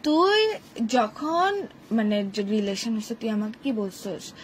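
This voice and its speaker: A woman's voice: a little high, big pitch swings, slow, a little hiss or hum. A young woman with a Bangladeshi Bengali accent, scolding and insistent in a casual phone quarrel. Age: young adult. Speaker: girlfriend in a phone argument.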